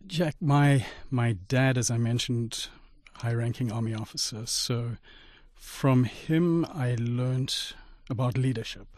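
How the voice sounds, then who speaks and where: A middle-aged man who grew up in Zimbabwe speaks as a radio guest, articulate and measured as he tells stories from his life. His voice sits at an ordinary pitch and sounds dry and close, with no room around it. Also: a little mellow, no echo. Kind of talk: radio interview storytelling.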